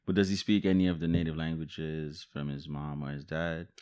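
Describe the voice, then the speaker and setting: A man's voice: rumbling low, little pitch movement, moderate pace, a little mellow, clear, resonant tone. A young man with a New York accent, co-hosting casual banter in a slangy, questioning, argumentative way.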